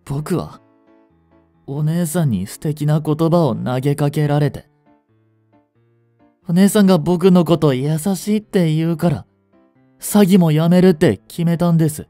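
Casting: male actor for lovestruck boyish con artist, romantic audio drama. Mellow, lightly high voice, polite yet easily flustered. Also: measured pace, long pauses, dry recording, soft background music, close to an everyday voice. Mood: hurt, jealous. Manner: polite speech, stammering when flustered. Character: young male genius swindler, lovestruck, jealous, boyishly flustered. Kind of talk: romantic audio drama acting, addressed to the listener.

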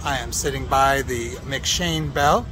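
A middle-aged American man reviewing food casually to camera in a halting, easygoing, understated way, recorded outdoors on a phone. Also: medium pitch, music in the background. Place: outdoors at a fairground. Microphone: phone at arm's length. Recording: outdoor phone recording with ambient fairground noise.

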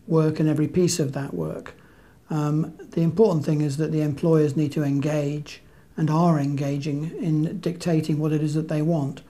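Very dark, even-toned man. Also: fairly high pitch. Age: middle-aged. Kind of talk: interview answer. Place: small plain indoor room.

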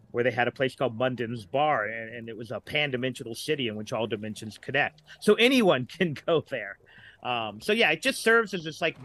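A middle-aged man talking casually as a podcast guest, a writer who haltingly searches for examples as he goes. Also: medium pitch, a bit bright.

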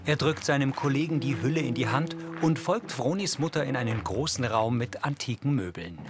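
A man's voice: mid-range voice, lively intonation, a little fast, music in the background. A man reading scripted present-tense narration as an audio describer for a television drama.

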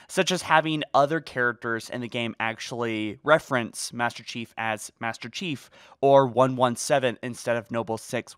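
A man's voice: medium pitch, a bit bright, clear, resonant tone, dry recording. A man giving casual voice-over commentary as a video creator, close to the mic. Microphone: close dry voice-over mic.

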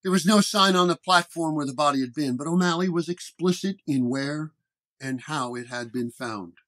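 Guy reading a spooky detective story, measured, a bit higher voice. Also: a bit bright. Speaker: narrator. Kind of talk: scripted first-person detective horror narration.

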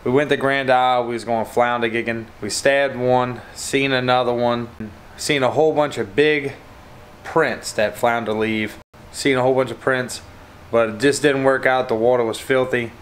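A man's voice: medium pitch, fairly even intonation, normal speed, a little hiss or hum. A young man, talking casually to the camera in a home vlog, laid-back and rambling with plenty of fillers, recorded close on a camera mic in a living room. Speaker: fishing vlogger. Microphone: camera held close at arm's length.

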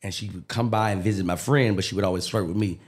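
A man's voice: low voice, fairly even intonation, fast, slight background noise. A man, a podcast guest, telling a profane, joking story in a studio on a desk mic. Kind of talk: comic storytelling. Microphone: desk mic on boom arm.

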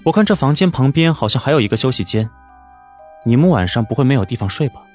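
Audiobook role: wry young male mage played by a man, very animated pitch, sighing and emphatic. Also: quick pace, soft background music, lightly acted. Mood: exasperated.

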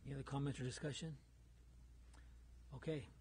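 A man's voice: medium pitch, fairly even intonation, fairly quick, gravelly, darkish tone, a little hiss or hum. A man chairing a city council meeting, reading a motion aloud in a formal procedural tone. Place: council chamber.

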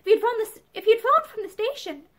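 A woman's voice: very high voice, huge pitch swings, normal speed, sharp tone, pitched above the performer's own voice, full character voice. A woman voicing a timid, emotional young wife in a storybook, earnest and easily hurt. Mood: faltering, hurt. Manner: faltering, earnest, protesting.

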